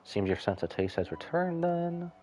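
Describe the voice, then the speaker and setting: A man's voice: fairly high pitch, sing-song, moderate pace, dark tone, slight background noise. A man doing casual live commentary on a game, sentimental and musing aloud to himself as he reacts. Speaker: game streamer.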